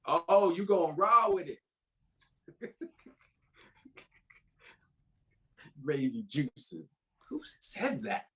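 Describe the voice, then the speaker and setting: A man's voice: a little high, very animated, extremely slow, fairly bright tone, slight background noise, exaggerated voice. A man playing a laid-back, bantering old lover in a drama script read, with an American accent and casual, slangy speech. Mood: easygoing, joking. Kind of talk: informal screenplay table read.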